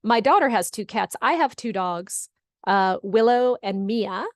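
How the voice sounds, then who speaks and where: A woman's voice: mid-range voice, animated, unhurried, no room sound. A middle-aged woman from California hosts an online session in a chatty, encouraging way. She repeats answers back and reads out comments, with a close, dry sound and a mid-range voice. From California, United States. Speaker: webinar host. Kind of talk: casual moderated chat, reading out audience comments. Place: online video call.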